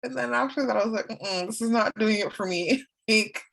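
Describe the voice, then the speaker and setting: A woman's voice: a little high, fairly quick, creaky, fairly bright tone. A young woman, chatting as a guest in a casual interview, laughing often and acting out her own quotes. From Canada.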